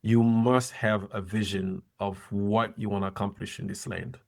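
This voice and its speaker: Low gravelly man giving earnest advice. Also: little pitch movement, moderate pace. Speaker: podcast guest, former soccer player and coach. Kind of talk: interview conversation.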